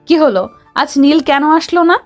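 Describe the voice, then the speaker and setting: A woman's voice: high-pitched, normal speed, faint music behind, natural voice. A woman playing a curious, watchful young security team member in an audio story. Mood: suspicious, questioning.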